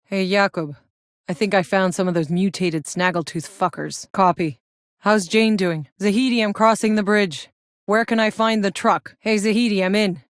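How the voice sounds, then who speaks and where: A woman's voice: mid-range voice, normal speed, dry recording, clean studio sound, natural voice. An actress playing a tough, sardonic, battle-hardened soldier in a sci-fi video game, blunt and wry in her delivery. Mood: wry, weary. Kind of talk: scripted video game character voice lines.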